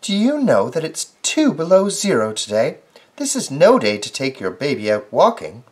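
Excitable cat mother, man performing, very high, gasping and squealing, storybook voice. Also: moderate pace, slightly rough, full character voice. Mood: scolding.

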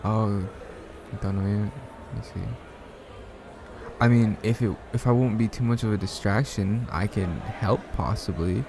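A man acting a shy, smitten young man in an ASMR roleplay, speaking softly and hesitantly with bashful stammers. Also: fairly low pitch, fairly even intonation, a little slow, noisy background. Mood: eager, helpful. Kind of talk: ASMR roleplay, soft one-sided conversational acting.

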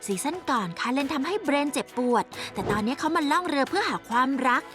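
A woman's voice: high-pitched, animated, fast talker, over music. A woman voicing a precocious four-year-old girl scientist in a cartoon dub, speaking in a formal, lecturing, matter-of-fact way. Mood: chatty.

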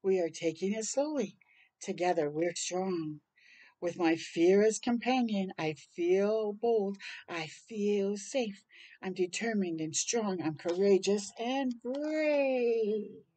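Older female storytime reader, soft mellow tone, unhurried pace, deliberate dramatic delivery for young children. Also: medium pitch. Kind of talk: read-aloud of a rhyming picture book with brief asides. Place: outdoors on a porch.

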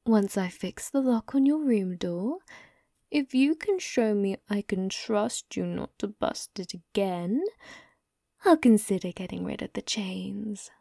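A woman playing a young, possessive and sadistic yandere captor in an ASMR roleplay, taunting in a mockingly sweet, breathy, cooing way. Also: medium pitch, slow, mellow, dry recording, studio quality. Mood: cold threatening. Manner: taunting, teasing, cooing, breathy drops to whisper.

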